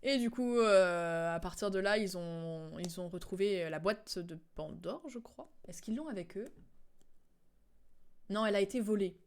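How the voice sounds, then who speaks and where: A woman streams casual commentary over game cutscenes. Her voice sits at an average pitch. She recaps in a loose, halting ramble and sounds amused. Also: a little hiss or hum.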